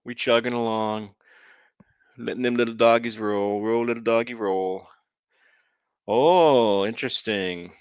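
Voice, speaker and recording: A man's voice: medium pitch, a little slow, long pauses, clear, resonant tone, dry recording. A man streaming a game, chatting casually with his viewers and laughing often. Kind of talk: casual live-stream commentary. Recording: dull lo-fi dry sound.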